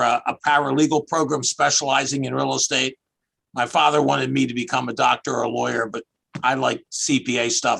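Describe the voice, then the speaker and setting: Older Philadelphia-accent man, 60s, husky and gravelly, chatty storyteller, video call sound. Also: mid-range voice, a bit bright, rough recording. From Philadelphia area. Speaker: guest, tax accountant. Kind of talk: casual interview over video call.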